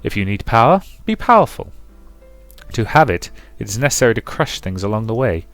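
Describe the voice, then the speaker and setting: Man as a cold noble tutor, low voice, formal, measured, blunt, visual novel character. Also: big pitch swings, fairly bright tone, soft background music. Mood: severe. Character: stern, aloof nobleman, strict matter-of-fact teacher.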